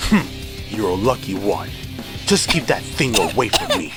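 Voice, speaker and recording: A man's voice: fairly low pitch, huge pitch swings, moderate pace. A man voicing a hostile, distrustful hedgehog guardian in a comic dub, stern and curt. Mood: grudging. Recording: prominent background music.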